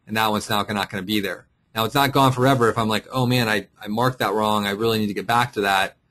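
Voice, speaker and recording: A man presenting a casual, unscripted software tutorial, explaining and thinking aloud as he clicks through. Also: fairly low pitch. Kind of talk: casual screen-share walkthrough, unscripted. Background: voice-over for a screen recording.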